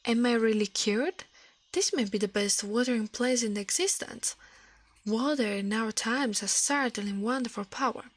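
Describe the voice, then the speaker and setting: A woman reading a fairy tale aloud in a soft ASMR style, careful and a little halting, close to the microphone. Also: a little high, no room sound.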